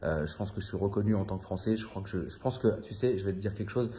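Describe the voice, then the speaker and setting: A man's voice: on the low side, quick pace, mellow. A man in his thirties from France, talking to his viewers in a rambling, confiding way, slangy and swearing casually. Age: 30s. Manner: rambling, loose and confiding, many fillers and repeats. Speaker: vlogger. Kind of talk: casual monologue to camera.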